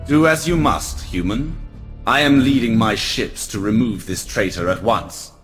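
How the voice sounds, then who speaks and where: A proud alien prince in a game cutscene. His voice sits on the low side, and he speaks with measured, lofty formality. Faint music runs underneath. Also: fairly bright tone. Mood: grave warning. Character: proud, aloof male alien elf prince. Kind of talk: video game cutscene acting.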